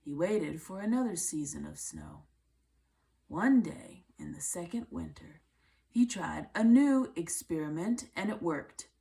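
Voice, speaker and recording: A woman reading a children's book aloud in a measured way, with deliberate phrasing and pauses. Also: lowish voice, animated, vocal fry, mellow. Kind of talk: read-aloud storybook narration.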